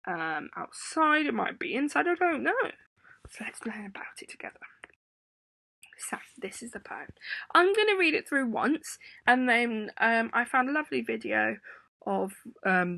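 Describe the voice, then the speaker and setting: Croaky woman teaching young kids. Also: on the high side, no room sound. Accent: English. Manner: gentle, encouraging, with many ums. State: hoarse with a sore throat. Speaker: primary school teacher. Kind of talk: remote lesson to children. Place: home room indoors. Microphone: laptop webcam.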